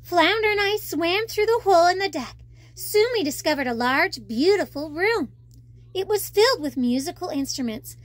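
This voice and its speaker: A woman's voice: very high voice, lively intonation, normal speed. A woman reading a storybook expressively to children as a storyteller.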